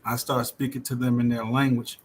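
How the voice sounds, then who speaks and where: A man's voice: mid-range voice, level tone, fairly quick, darkish tone, a little hiss or hum. A man hosting a casual livestream chat, telling stories in a laid-back, joking way. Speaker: livestream host.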